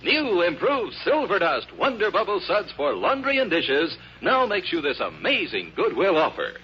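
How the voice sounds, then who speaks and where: A man delivers a scripted 1950s radio commercial. His high voice swings extremely widely in pitch, heard through a dull, faintly noisy broadcast. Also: wildly swooping pitch, moderate pace, music in the background.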